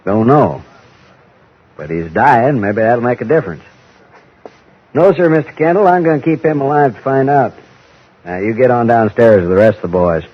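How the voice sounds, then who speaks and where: Man playing a husky, stubborn frontier sheriff. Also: mid-range voice, moderate pace, long pauses, lightly acted. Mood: stubborn, firm. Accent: American Western. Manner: terse, drawling rustic speech. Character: stubborn, folksy frontier sheriff, guarded and blunt. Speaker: actor in a radio western. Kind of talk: old-time radio drama acting. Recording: dull, muffled old radio recording.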